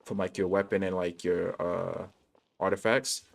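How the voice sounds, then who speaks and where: A man's voice: low-pitched, steady tone, moderate pace, creaky. A man chatting casually on a gaming livestream in laid-back, slangy bro talk. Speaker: game livestreamer. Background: game music.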